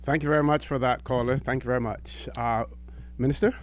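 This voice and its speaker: A man's voice: mid-range voice, steady tone, brisk. A man in his sixties hosting a radio phone-in from a studio, courteous and brief, close on the microphone. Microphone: close broadcast microphone, headset on.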